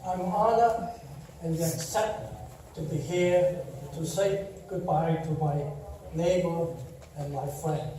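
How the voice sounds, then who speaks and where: An older man with a Chinese accent, Taiwanese his first language, giving a slow, warm eulogy full of gentle storytelling at a memorial service. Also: fairly high pitch, a little hiss or hum. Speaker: eulogy speaker. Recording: echoey hall, distant mic.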